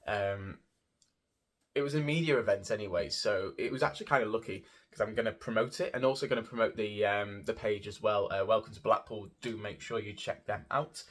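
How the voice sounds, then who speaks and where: A man's voice: fairly low pitch, fairly quick. A man giving a casual, gushing and rambling review of a theatre show as a vlogger, often repeating words for emphasis. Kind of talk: casual spoken review to camera.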